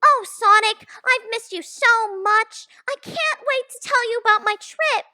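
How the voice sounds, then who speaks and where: A woman's voice: very high voice, a little slow, bright, forceful tone, no echo, heavily acted. A woman acting a sweet, girlish young hedgehog in a fan audio drama. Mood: excited, adoring.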